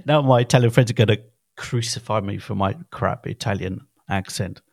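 A man in his fifties with a fairly low voice, chatting loosely as a podcast co-host. He rambles and restarts his sentences often. The recording is dry and close, with no room sound. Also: moderate pace, dry recording. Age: 50s. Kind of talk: casual chat.

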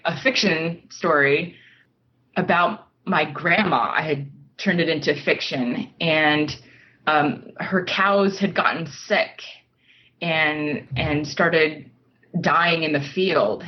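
A woman speaking as a writer being interviewed, thoughtful and measured, pausing mid-sentence as she reflects. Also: fairly low pitch, a little hiss or hum. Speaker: podcast guest, nonfiction writer. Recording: dull lo-fi audio.

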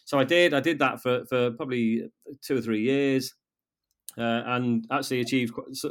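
A North Welsh man in his fifties, speaking as a guest in a relaxed interview. His voice sits at an ordinary middle pitch, and he talks in a halting way, repeating words and starting his sentences over. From North Wales. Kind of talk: casual interview conversation.

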